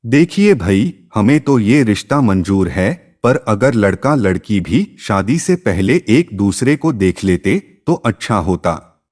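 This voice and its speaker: A man's voice: on the low side, big pitch swings, slow, a little mellow, long reverb tail, exaggerated voice. A man voicing a courteous, agreeable middle-aged father of a bride in a narrated moral story. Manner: polite, respectful.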